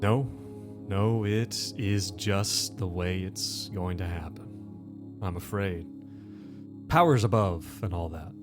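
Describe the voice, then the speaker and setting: A man playing a smug, coldly self-assured federal agent in a tabletop game, speaking in an unhurried, condescending way. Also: sits low, over music.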